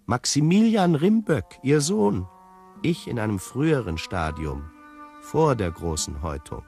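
An actor playing an urbane storyteller who confides in the listener in a radio drama, measured and literary. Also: male voice, medium pitch, big pitch swings, darkish tone, faint music behind. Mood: confiding, wry.

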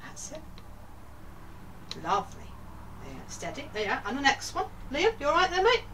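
A woman's voice: high voice, huge pitch swings, a little fast, a bit bright. An older woman patiently encouraging children in a home kitchen, giving casual step-by-step instructions. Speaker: grandmother leading children's baking. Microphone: handheld camera a few feet away. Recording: noisy handheld camera, distant mic.

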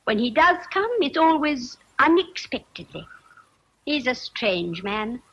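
An actress playing a fussy, kindly older housekeeper in a radio drama, chatty and hesitant. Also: female voice, sits high, big pitch swings, a little slow, fairly bright tone, breathy edge, muffled recording, heavily acted. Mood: gossipy. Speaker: actor.

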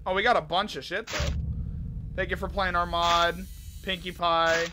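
A young man in his twenties with a hoarse, sore throat, casually commentating a game from a small bedroom, swearing often and reacting loudly. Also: sits high, level tone, normal speed, very noisy. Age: 20s. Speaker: gaming YouTuber. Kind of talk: casual let's play commentary. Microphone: desk mic, webcam in corner.

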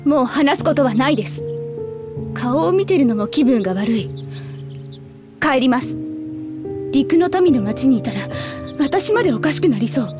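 A woman's voice: high voice, animated, moderate pace, long pauses, music in the background. An actress playing a bitter, grieving young girl in a Japanese video game, speaking in cold, accusing, formally polite sentences. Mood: cold, disgusted.

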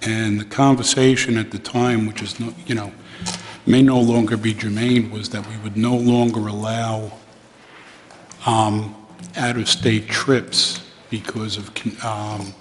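A middle-aged man chairs a meeting in procedural, deliberate phrases. His delivery is very even and flat in pitch. The sound rings with long room reverb over faint noise. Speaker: school board chair. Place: large indoor council chamber. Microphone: gooseneck microphone on dais.

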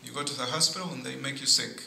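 A middle-aged man, a priest giving a sermon at a pulpit, speaking in slow, deliberate phrases with pauses and frequent asides of "see". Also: mid-range voice, steady tone, creaky, sharp tone. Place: church sanctuary at a pulpit. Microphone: boom mic at pulpit. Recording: echoey church with faint noise.

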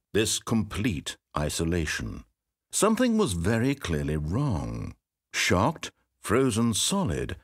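An actor voicing a game's male narrator, speaking in a measured, wry and theatrical way. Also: fairly low pitch, no echo, exaggerated voice. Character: dry, wry male storybook narrator of a game. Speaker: game narrator. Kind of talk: scripted narration. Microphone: studio microphone. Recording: dry close studio recording.

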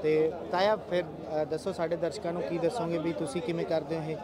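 A man's voice: on the high side, flat delivery, brisk. A man with a Punjabi accent hosting a casual interview at a livestock market, asking short questions. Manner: short prompting questions and agreeing replies. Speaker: channel host interviewer. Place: crowded outdoor livestock market. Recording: noisy market crowd behind.

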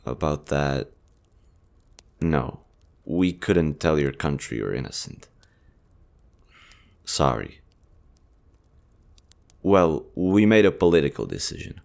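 A man acting a kind, earnest young army general in a romantic audio roleplay, hushed, halting and emotive. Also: extremely low pitch, lively intonation, vocal fry, heavily acted. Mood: apologetic, sincere.

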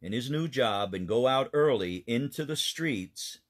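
A man's voice: mid-range voice, animated, a little slow. A man, a pastor, telling a children's missionary story in an earnest, explanatory way.

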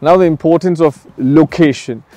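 A man's voice: a little high, normal speed, warm tone, dry recording. A man giving an emphatic, salesy promotional presentation as a real estate agent, putting questions to the viewer.

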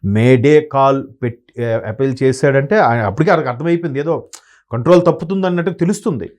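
A man's voice: mid-range voice, fairly quick, darkish tone. A man speaking as an analyst guest, talking in a rambling, sombre way with many restarts. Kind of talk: conversational commentary.

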